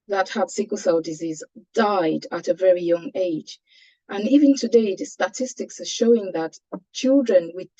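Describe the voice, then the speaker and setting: Mellow Cameroonian woman, slightly dull recording. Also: medium pitch, husky. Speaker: panelist sharing a patient's experience. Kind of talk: personal testimony in a webinar presentation. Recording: dull, lo-fi online call audio.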